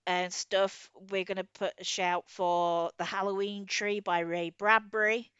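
A woman's voice: medium pitch, flat delivery, unhurried, fairly bright tone, clear, resonant tone, no room sound, lo-fi sound. A middle-aged woman chatting casually about books as a podcast co-host, enthusiastic and self-deprecating. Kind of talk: casual book chat.

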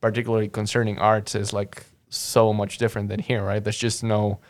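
A young man from Mexico speaks as a podcast guest. His voice is low and smooth. He wanders through his story with plenty of fillers. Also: flat delivery, a little fast. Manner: rambling, many fillers and restarts. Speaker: guest, audio engineer. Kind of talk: casual interview chat.

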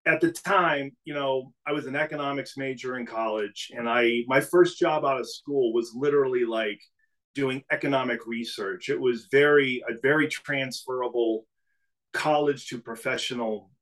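A middle-aged man from New England, a business CEO talking casually as a podcast guest, telling stories in a relaxed way with frequent restarts. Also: medium pitch, normal speed, rough recording. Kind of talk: casual interview conversation.

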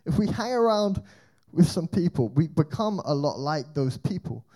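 Young male speaker, lightly raised pitch, mellow tone, chatty storyteller giving a faith talk. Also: big pitch swings, normal speed. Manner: storytelling, conversational, rhetorical questions. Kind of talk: energetic youth talk. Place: church hall with band.

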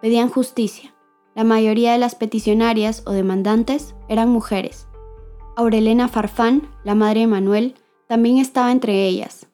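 A woman giving measured, scripted documentary narration as the host. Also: fairly high pitch, steady tone, dark tone, soft background music.

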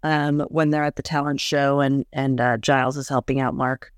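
A woman's voice: a bass voice, flat delivery, moderate pace, clear, resonant tone, no echo, studio quality. A woman co-hosting a podcast, chatting casually and animatedly as she recaps a TV episode, laughing often. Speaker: podcast co-host.

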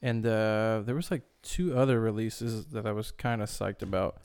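A man's voice: fairly low pitch, moderate pace, a little mellow, smooth. A young man co-hosting a music podcast in casual chat, easygoing and teasing. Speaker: podcast co-host.

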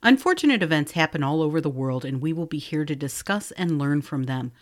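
A woman's voice: low voice, expressive, fairly quick. A woman reading a scripted podcast introduction as the show's host. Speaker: podcast host and magazine editor.

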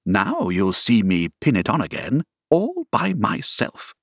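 An eccentric old queen from a storybook, acted out by a male reader, flustered and boastful. The voice swoops wildly and takes its time, with a slightly husky grain and a close, dry sound. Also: lowish voice, wildly swooping pitch, a little slow, dry recording, heavily acted. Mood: triumphant. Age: older. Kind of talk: storybook character voice.

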